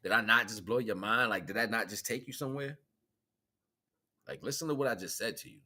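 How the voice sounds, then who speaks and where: An American man hosting a livestream, giving an informal spiritual monologue in an animated, colloquial, preaching way with repeated phrases. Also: on the low side, a little fast, slight background noise. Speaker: livestream host.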